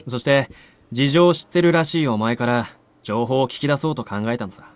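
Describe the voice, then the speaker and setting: A male actor voicing a cocky, nonchalant young man in a visual novel, with rough, offhand speech. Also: mid-range voice, rapid-fire, no room sound, natural voice. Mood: nonchalant explaining. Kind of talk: Japanese visual novel voice acting.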